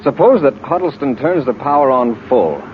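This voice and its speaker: An actor playing a decisive space patrol commander in a 1950s radio drama, crisp and authoritative as he gives orders. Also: medium pitch, lively intonation, normal speed, lightly acted. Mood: measured, thoughtful. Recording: noisy, muffled 1950s radio recording.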